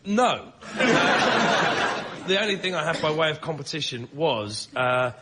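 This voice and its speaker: A man, a stand-up comedian on a panel show, talking in an emphatic, laboured comic way. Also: fairly high pitch. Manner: emphatic, deliberately laboured phrasing. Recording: live show with faint background noise.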